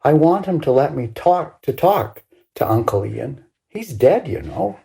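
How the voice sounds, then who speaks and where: A woman's voice: a bass voice, very animated, measured pace, vocal fry, dark tone, full character voice. An older woman voicing a grieving young grandson in a story, pleading insistently with his grandmother.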